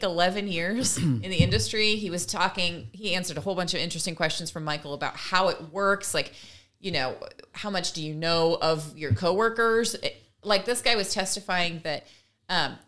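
A woman co-hosting a podcast, retelling stories casually and in an animated way, slipping into quotes as she goes. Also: on the low side, moderate pace. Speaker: podcast co-host. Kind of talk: casual conversational storytelling.

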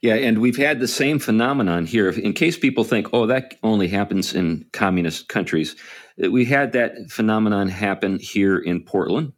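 A man in a casual discussion, talking haltingly with many fillers and restarts, chuckling now and then. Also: fairly low pitch, animated.

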